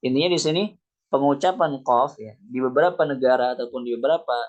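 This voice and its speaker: A man teaches Quran recitation. He reads a classical passage aloud, then explains it in plain terms, prompting himself with "what?" as he goes. His voice sits at a middle pitch, and the recording is dull and lo-fi. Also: quick pace, darkish tone. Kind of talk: explaining a classical text line by line. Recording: dull lo-fi sound.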